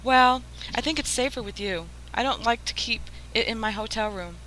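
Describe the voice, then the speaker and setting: Woman acting a coy femme fatale, highish bright voice, gushing, coaxing, forties radio crime play, faint noise. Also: moderate pace. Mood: sweetly coaxing. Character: flirtatious, scheming female crook, gushing sweetheart. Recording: faintly noisy radio drama recording.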